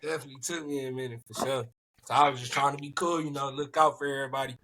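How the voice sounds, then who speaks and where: A young college football player from Cleveland talking in a relaxed, reflective way, often adding "you know what I'm saying.". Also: male voice, medium pitch, very fast, a little hiss or hum. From Cleveland, Ohio. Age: young adult. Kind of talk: casual podcast chat.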